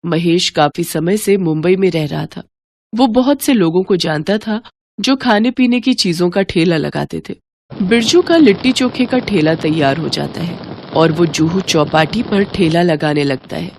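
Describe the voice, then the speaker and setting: A woman's voice: on the low side, a little slow, darkish tone. A woman narrating a scripted moral story, with slight noise in the recording.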